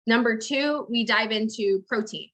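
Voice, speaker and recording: A woman's voice: on the high side, moderate pace, a bit bright. A woman speaking as a fitness coach in a casual interview, explaining things step by step with frequent check-ins. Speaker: fitness coach and business owner, interview guest.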